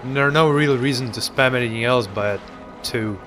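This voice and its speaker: Young man, bright voice, sarcastic goofy gameplay commentary, game music underneath. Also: mid-range voice, normal speed. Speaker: let's play commentator.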